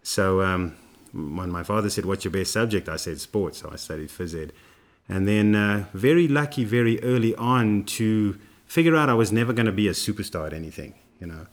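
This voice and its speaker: A man, a running coach, telling his story in a relaxed interview with lots of fillers and restarts. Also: lowish voice, brisk. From South Africa. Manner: rambling, many fillers and restarts.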